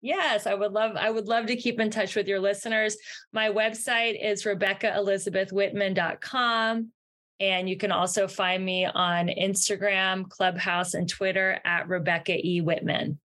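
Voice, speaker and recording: Woman, podcast guest, upbeat, earnest, encouraging, mid-pitched voice. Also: steady tone, normal speed, fairly bright tone. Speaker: podcast guest, success mentor. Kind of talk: interview answers.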